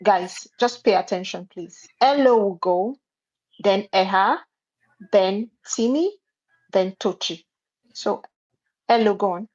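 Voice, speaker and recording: Brisk woman moderating, dull sound. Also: mid-range voice, darkish tone. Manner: brisk, directing speakers.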